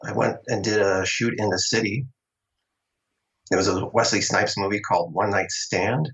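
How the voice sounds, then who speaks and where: A man's voice: lowish voice, fairly even intonation, moderate pace, fairly bright tone. A middle-aged man from Long Island telling stories about his acting start in a casual interview, chatty and laughing often. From Long Island, New York. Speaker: interview guest, actor. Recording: dull, lo-fi sound.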